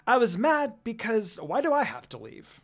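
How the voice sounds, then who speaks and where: A fanfiction reader voicing a lazy, stubborn young girl ninja in a higher voice than their own. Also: sing-song, moderate pace. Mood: eager. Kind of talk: fanfiction read aloud.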